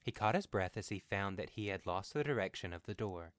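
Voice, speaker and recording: A man's voice: low-pitched, expressive, fairly quick. A man reading a horror story as scripted narration for a podcast.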